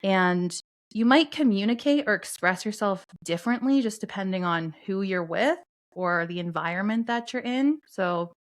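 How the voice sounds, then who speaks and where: A woman co-hosting a podcast, calmly explaining astrology and human design concepts in a steady teaching tone. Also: medium pitch, normal speed. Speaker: podcast co-host.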